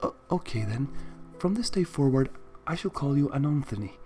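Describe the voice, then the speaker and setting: A man's voice: medium pitch, expressive, normal speed, mellow, soft background music, lightly acted. A man voicing a sweet, shy, naive young female pegasus pony in a story reading, timid and hesitant.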